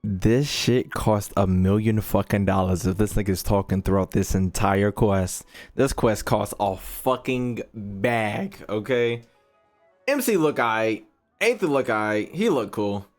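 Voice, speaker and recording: A man's voice: lowish voice, takes it slowly, faint music behind. A man streaming a game with casual, slangy, profane and joking reaction commentary. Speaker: gaming streamer.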